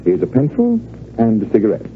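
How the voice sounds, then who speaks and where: Cool-headed stage magician, low lively voice, 1940s radio style, tinny recording. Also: male voice, brisk, faint music behind. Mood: smug, teasing. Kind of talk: 1940s radio drama acting. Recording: tinny, narrow, noisy old radio recording.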